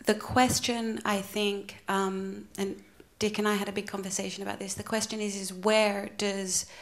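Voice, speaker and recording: A woman in the audience speaks up loudly at a conference. Her delivery stays flat and even in pitch. She pauses often with ums as she builds her point. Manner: loud, hesitant with many ums. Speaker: audience participant. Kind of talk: conference discussion remark. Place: conference room in a museum.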